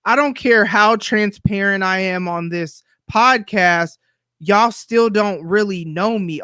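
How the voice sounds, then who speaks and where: A woman's voice: mid-range voice, fairly bright tone, dry recording, clean studio sound. A woman in her forties hosting her own podcast, talking candidly in a chatty, blunt way. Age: 40s. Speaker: podcast host. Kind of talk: solo podcast monologue.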